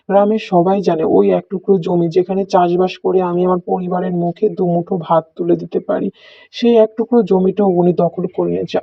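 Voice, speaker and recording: A man's voice: high voice, gravelly, no room sound. A man playing a poor, desperate village farmer in a melodramatic cartoon, pleading in a plaintive, imploring way. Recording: dull lo-fi cartoon audio.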